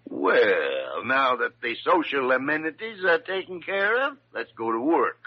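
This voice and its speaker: An actor in an old radio drama playing a crotchety, blustering senior doctor, on a muffled vintage recording. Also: male voice, medium pitch, big pitch swings, measured pace. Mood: businesslike. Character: crusty, grumbling senior doctor, gruffly fond of his staff.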